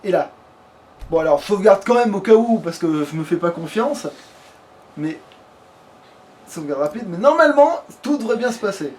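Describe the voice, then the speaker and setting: A man's voice: high voice, expressive, normal speed, pauses often, a little mellow. A man commenting casually on his game, with crude joking slang. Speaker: let's player. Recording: noisy home recording.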